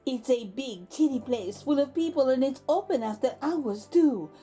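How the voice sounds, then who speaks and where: A woman's voice: high voice, animated, moderate pace, warm tone, slightly rough, faint music behind. A woman voicing a dutiful, deferential servant who cautions a monstrous master in a children's picture book. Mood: earnest, cautionary.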